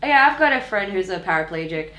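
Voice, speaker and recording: An Australian woman in her twenties talks in a roomy, echoing space. Her pitch is average for a woman, and she gives her opinions in a blunt, colloquial, conversational way. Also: animated, fast, pushed and bright, slight background noise. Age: 20s. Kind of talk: casual opinionated chat.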